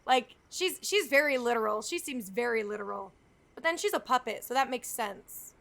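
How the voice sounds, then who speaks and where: A woman's voice: on the high side, lively intonation, moderate pace, creaky, a bit bright. A woman game streamer giving casual live commentary, informal and chatty with wry asides. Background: game music.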